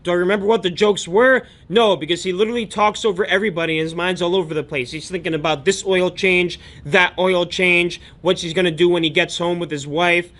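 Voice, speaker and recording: High-pitched young American man, chatty vlogger. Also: steady tone, brisk, few pauses, fairly bright tone. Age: young adult. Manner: chatty, slangy, emphatic. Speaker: daily vlogger, online book seller. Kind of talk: casual vlog storytelling.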